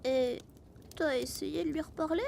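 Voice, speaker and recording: A cheeky little magical animal speaks in a very high, creaky voice. It is loyal, lazy and childlike, a familiar companion from a fantasy audio drama, over faint ambient noise. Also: female voice, fairly even intonation, normal speed, a little mellow. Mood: curious, concerned.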